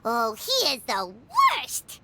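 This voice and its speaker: A woman voicing a tiny childlike fairy companion in a video game, chirpy and blunt, with a squeaky voice. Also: wildly swooping pitch, slow, background noise, exaggerated voice. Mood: exasperated. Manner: squeaky, exclamatory, refers to self in third person.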